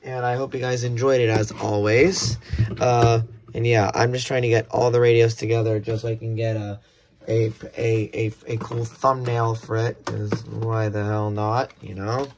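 A young man with an American accent presents an unboxing in a casual, halting way, repeating words as he goes. He sounds like he is at a desk indoors on a phone, with clear noise and handling clicks around his voice. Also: on the low side, level tone, darkish tone. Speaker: video creator unboxing weather radios. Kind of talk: casual hands-on unboxing chat.

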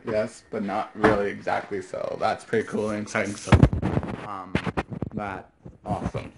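A man in his twenties vlogging casually into a handheld phone, halting and repetitive, often trailing off into vague asides. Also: fairly low pitch. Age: 20s. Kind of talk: casual rambling vlog talk. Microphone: phone at arm's length. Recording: faintly noisy handheld phone recording.

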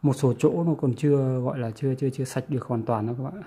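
A man with a northern Vietnamese accent giving a casual hands-on tutorial, halting and repeating words as he goes. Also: medium pitch, warm tone, a little hiss or hum. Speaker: vlogger presenting a how-to. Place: small indoor room with audio gear.

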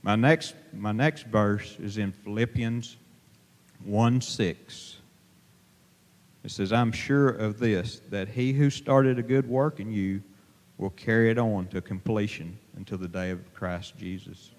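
A middle-aged man preaching an informal sermon from a pulpit, plain-spoken and halting, with many fillers and restarts. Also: lowish voice. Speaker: lay preacher. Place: church sanctuary, at a pulpit. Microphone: handheld microphone held to mouth. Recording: long reverb of a church hall.

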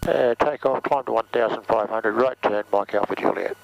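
Man, gravelly, mid-pitched, pilot instruction and radio calls, noisy light aircraft. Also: normal speed, lo-fi sound. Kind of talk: calm instructional cockpit talk and radio calls. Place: small propeller plane cockpit. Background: engine noise, clicks, radio.

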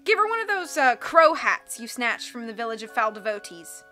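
A woman's voice: on the high side, big pitch swings, normal speed, pushed and bright, soft background music. A woman voicing a vain, demanding villainess with a haughty, petulant manner in a storybook.

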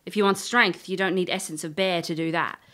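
An actress playing a sharp, wry woman investigator in a fantasy audio drama, inquisitive and plain-spoken. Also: on the low side, fairly even intonation, brisk, close to an everyday voice. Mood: wry, challenging.

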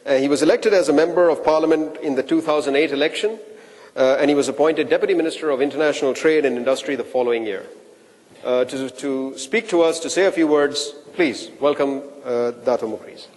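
A man hosts a conference in a somewhat high voice. He talks casually, stumbling over his words and repeating himself. His voice carries the long echo of a hall. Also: a little fast, darkish tone. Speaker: event host introducing speakers. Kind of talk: conference emcee talk.

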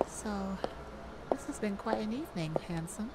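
A woman's voice: medium pitch, lively intonation, moderate pace, very noisy, close to an everyday voice. A woman playing a playful, shyly romantic lady thief in an ASMR audio roleplay, with a teasing, hesitant manner. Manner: teasing, hesitant, breaking into soft laughs. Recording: music behind the voice.

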